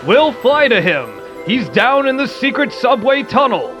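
A man's voice: very high-pitched, big pitch swings, measured pace, a bit bright, faint music behind, exaggerated voice. A man playing a confident, kindly big-brother superhero in an amateur comic-book radio play, on a dull lo-fi recording.

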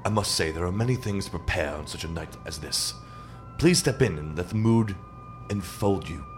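A man acting a low-voiced, courtly, mysterious artist. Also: animated. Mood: suave, welcoming. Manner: formal, courteous, deliberate. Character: courtly, mysterious painter of macabre art. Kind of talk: dramatic radio play acting. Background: music.

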